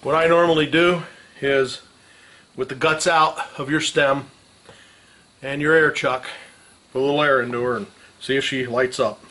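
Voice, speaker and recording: A man's voice: medium pitch, fairly even intonation, normal speed, pauses often, sharp tone. A middle-aged man giving a casual hands-on tutorial in a garage, talking in folksy, salty slang as he works, picked up a few feet from the camera. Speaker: mechanic, host of a how-to video. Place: garage with open door. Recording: clanking metal tool noise.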